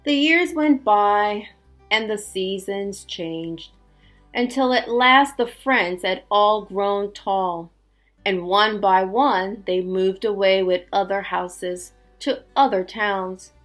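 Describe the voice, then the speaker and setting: Female teacher for picture-book read-aloud: slow, expressive delivery, mid-range pitch, faint music underneath. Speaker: teacher reading a storybook aloud. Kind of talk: children's read-aloud with chatty asides.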